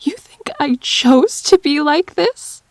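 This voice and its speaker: Woman acting a slow-spoken, wounded, grieving girlfriend. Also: high-pitched, animated, no room sound, heavily acted. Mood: wounded, defensive. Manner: halting, wounded, deliberate phrasing with pauses. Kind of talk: emotional audio drama acting.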